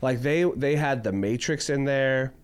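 A man's voice: medium pitch, unhurried, clear, resonant tone. Rambling man in his twenties. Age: 20s. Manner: rambling, heavy use of "like" fillers. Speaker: podcast co-host. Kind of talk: casual chat.

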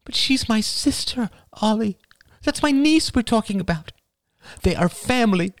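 A man's voice: high-pitched, expressive, normal speed, no echo, pitched above the performer's own voice. A man voicing an anxious middle-aged mother who pleads emotionally in an audiobook. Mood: pleading. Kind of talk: audiobook character voice.

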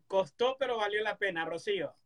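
A man hosting a streamed interview, asking questions in a halting way and often repeating his words. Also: high voice, a bit bright. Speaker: host.

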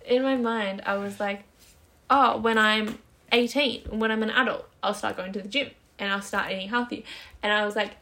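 A woman's voice: mid-range voice, fairly even intonation, moderate pace, pushed and bright. A woman of twenty having a casual, giggly chat with a friend as a podcast co-host.

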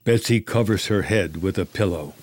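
A man's voice: sits low, normal speed. A man with an American accent reading scripted screenplay narration in the present tense.

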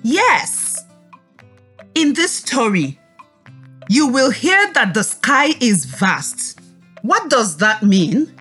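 A woman with a high, very lively voice teaches young children through a story. She speaks extremely slowly and deliberately, as a teacher would, with music behind her. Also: slightly rough. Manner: slow, deliberate, expressive, prompting listeners to repeat. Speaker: teacher leading a children's read-aloud lesson.

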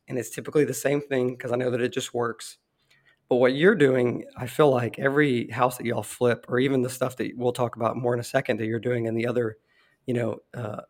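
Hoarse male podcast host. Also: mid-range voice. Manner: rambling, friendly, throat-clearing. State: losing his voice. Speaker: podcast host, real estate investor. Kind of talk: casual interview conversation.

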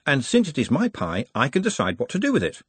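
A man voicing a gentle young goblin in a storybook, formal and polite, with a soft voice that has a breathy, husky grain. It sits up close with no room around it. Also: mid-range voice, huge pitch swings, quick pace, no room sound, pitched above the performer's own voice. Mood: earnest.

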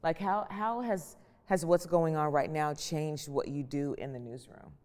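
A woman's voice: low voice, unhurried, a little mellow. A woman hosting a panel interview, putting questions in a conversational way. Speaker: host and moderator. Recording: long echoing reverb.

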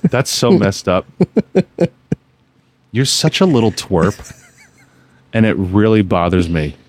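A man's voice: lowish voice, slow. A man in his forties, a contractor hosting a podcast, chatting casually and laughing often. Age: 40s. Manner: laughs often, animated, teasing.

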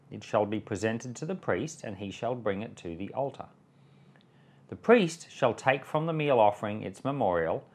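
An Australian man, a pastor hosting a Bible podcast, reading and explaining scripture with measured, emphatic phrasing. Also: medium pitch. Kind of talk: scripture reading with devotional commentary.

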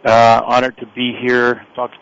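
A male guest joins an interview show over a remote line. His voice has a gravelly edge, and he speaks politely with small stumbles. The audio comes through dull and lo-fi. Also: medium pitch, completely flat delivery, unhurried, fairly bright tone. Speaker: guest entrepreneur. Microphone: remote call.

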